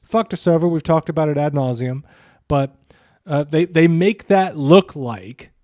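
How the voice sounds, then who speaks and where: A man's voice: a little high, unhurried, darkish tone, dry recording, clean studio sound. A man co-hosting a podcast with casual, opinionated commentary, emphatic, repeating phrases for stress and swearing freely. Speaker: podcast co-host.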